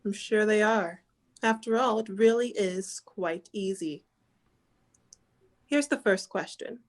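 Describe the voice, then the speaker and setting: An agreeable female sidekick on an old radio show, played by an actress. She announces very slowly and formally, in a measured 1940s manner. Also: mid-range voice. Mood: agreeable, promotional. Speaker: co-host.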